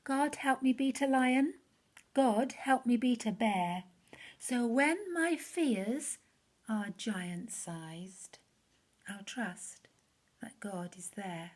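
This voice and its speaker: A devout, resolute young shepherd boy in a children's storybook, voiced by a woman. The lines are slow and rhythmic, slightly breathy, trailing off softly with earnest faith. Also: on the high side, animated, exaggerated voice.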